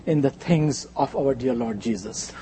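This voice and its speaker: A man with an Indian accent, a native Gujarati speaker, preaching in short phrases with a husky voice, pausing for an interpreter. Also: medium pitch, warm tone, slight background noise.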